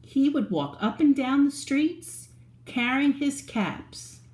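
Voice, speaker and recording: A woman's voice: high voice, lively intonation, slow pace, darkish tone, roomy sound, a little hiss or hum, rough recording. A woman reading a picture book aloud to young children in a playful, sing-song way, stopping to ask them questions. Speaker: children's storyteller.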